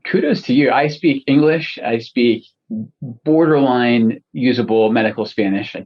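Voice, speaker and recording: Man, from Scotland, podcast host, husky, friendly, halting, muffled lo-fi sound. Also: medium pitch. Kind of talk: conversational interview.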